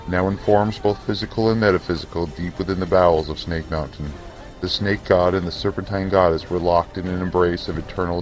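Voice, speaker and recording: A man reading scripted fantasy narration for an audio drama in a solemn, measured, theatrical way. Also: low-pitched.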